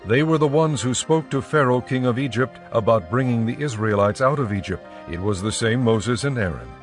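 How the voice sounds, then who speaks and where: A man narrating scripture from a script in a measured, formal storytelling manner. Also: mid-range voice, lively intonation, soft background music.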